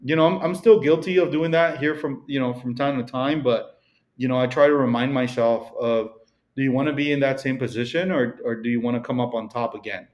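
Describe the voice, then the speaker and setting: A man with a Mexican accent speaks as a guest in a casual interview. He wanders through his thoughts with plenty of fillers and false starts, and his voice sits at an ordinary middle pitch. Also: brisk, a little mellow. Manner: rambling, many fillers and restarts. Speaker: guest, real estate broker.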